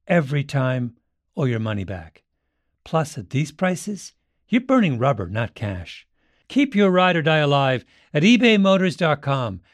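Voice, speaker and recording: A man giving a scripted ad read in a lively, conversational manner. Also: a little high, no room sound. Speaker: ad announcer.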